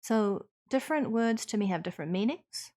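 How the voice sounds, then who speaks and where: A woman livestream host with a New Zealand accent, talking to her chat in an earnest, measured and thoughtful conversational way. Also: medium pitch, animated, a little mellow. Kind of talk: conversational livestream Q&A, partly reading chat aloud.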